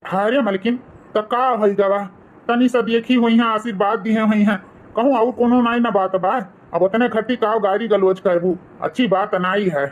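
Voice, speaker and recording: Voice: mid-range voice, fairly even intonation, moderate pace, highs cut off, natural voice. A performer voicing a weary, resigned old village father-in-law in a cartoon, with an Awadhi accent. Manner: resigned, pleading.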